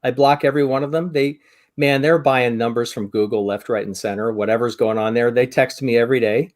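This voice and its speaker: An American man in his fifties chatting on a podcast over a video call, reflective and wry, pausing as he works through his thoughts. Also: medium pitch, fairly even intonation. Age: 50s. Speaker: podcast co-host or guest. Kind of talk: conversational podcast discussion. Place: indoor room on a video call.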